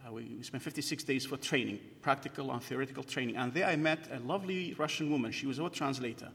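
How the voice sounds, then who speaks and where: A man's voice: medium pitch, fast, gravelly, a bit bright. A middle-aged man from northern Iraq giving a hesitant personal testimony at church, with many fillers and restarts. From Kurdistan, northern Iraq. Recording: long hall reverb.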